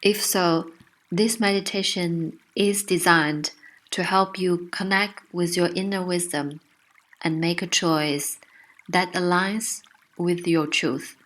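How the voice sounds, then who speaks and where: A woman narrating a guided meditation with gentle, soothing, unhurried instruction. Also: lowish voice, fairly even intonation.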